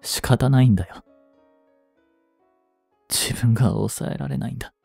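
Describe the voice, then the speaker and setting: A man acts out an obsessive, lonely young stalker in a voice drama. He speaks slowly in a dark, mellow tone, coaxing and unstable, as if right beside the listener. Faint music sits behind the close, dry voice. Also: mid-range voice, vocal fry, no room sound. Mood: resigned, despairing. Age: 20s. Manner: soft, coaxing, brooding delivery with drawn-out pauses. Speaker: voice actor. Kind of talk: intimate Japanese voice drama, addressed to the listener.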